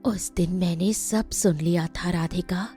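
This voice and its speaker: A sorrowful ghost queen voiced by a woman for a cartoon moral tale. She speaks slowly and soothingly in a soft-edged, dark tone, with music underneath. She is young, gentle and solemn. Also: fairly low pitch, steady tone, lightly acted. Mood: grieving.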